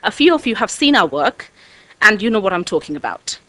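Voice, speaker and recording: A woman with a croaky voice giving a formal presentation to a council as an arts company director. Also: mid-range voice, very animated, a little fast, sharp tone, dry recording, low-quality recording. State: croaky voice, needing water. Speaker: artistic director of a dance company, guest speaker. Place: council meeting room.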